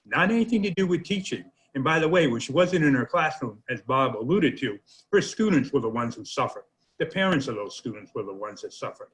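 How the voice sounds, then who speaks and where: A man's voice: mid-range voice, expressive, a little fast, a bit bright, low-quality recording. A man co-hosting a union talk show, speaking earnestly and indignantly with a few fillers. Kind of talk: casual talk show commentary.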